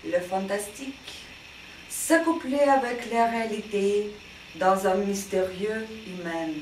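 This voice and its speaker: A woman reading the opening of a tale in a measured, solemn way, with traffic behind. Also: mid-range voice, a little mellow. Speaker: reader. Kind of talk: amateur story reading. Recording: distant, echoey, with traffic noise.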